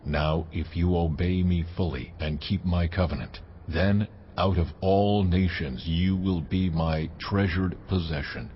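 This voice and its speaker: A deep divine male voice, proclaims slowly with solemn, weighty authority, as in an audio drama. The sound is dull, with clear noise and ambience around it. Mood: solemn, majestic.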